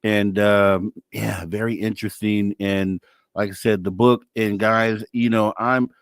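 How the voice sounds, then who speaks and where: A man's voice: on the low side, little pitch movement, measured pace, dry recording, studio quality. A man hosting a live radio talk show in a casual, informal, effusive way.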